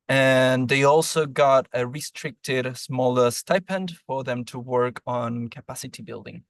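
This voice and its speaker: A man from Argentina, a program lead, answering interview questions in a measured way with some ums. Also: mid-range voice, dry recording.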